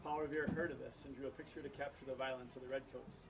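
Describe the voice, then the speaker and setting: A man's voice: medium pitch, level tone, quick pace. A man giving an informal introduction to a student show, speaking to an audience like a teacher. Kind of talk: informal introduction speech to parents. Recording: noisy room, distant microphone.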